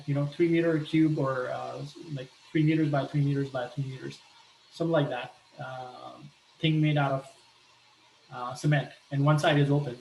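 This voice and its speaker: A man from Pakistan talking earnestly about his life, pausing often and restarting his sentences. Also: mid-range voice, gravelly, reverberant, a little hiss or hum, rough recording. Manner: hesitant, earnest, many restarts and fillers. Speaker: entrepreneur sharing a personal appeal. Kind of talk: personal talk with shared images.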